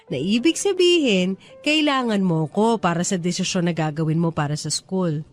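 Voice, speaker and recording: A woman's voice: lowish voice, animated, moderate pace, soft background music, lightly acted. A woman playing a humble, grateful teacher in a radio drama, earnest and deferential toward her mentor. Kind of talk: radio drama dialogue in Taglish.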